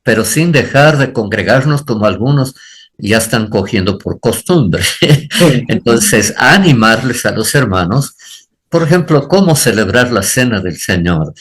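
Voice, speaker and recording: A man's voice: medium pitch, lively intonation, measured pace, a bit bright, breathy edge. An older man, a pastor answering on a church panel, speaking earnestly and repeating phrases for emphasis.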